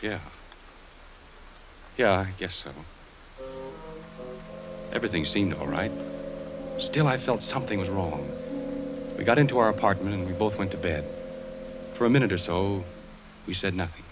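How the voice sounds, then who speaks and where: A man's voice: low voice, lively intonation, moderate pace, long pauses. A man playing a weary, uneasy husband and fire investigator in a 1940s radio drama. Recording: dull old radio recording with music.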